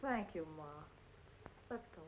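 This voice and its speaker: An actress playing a headstrong, defiant young working-class daughter in an old-time radio drama. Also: female voice, mid-range voice, expressive, measured pace, natural voice. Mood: eager, impatient. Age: young adult. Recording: muffled noisy old radio recording.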